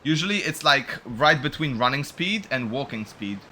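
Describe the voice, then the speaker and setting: A man's voice: mid-range voice, expressive, moderate pace, pushed and bright, a little hiss or hum. A man doing casual, slangy game-streamer commentary, blunt and given to swearing as he reacts to story scenes. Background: game music.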